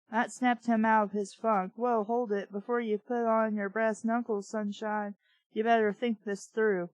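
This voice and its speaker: Female reader-critic, higher voice, irritated and foul-mouthed, mocking the text as she reads, dry close sound. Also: no echo, low-quality recording. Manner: sarcastic, profane, irritated. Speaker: host of a bad-book reading show. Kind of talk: reading fiction aloud with mocking commentary.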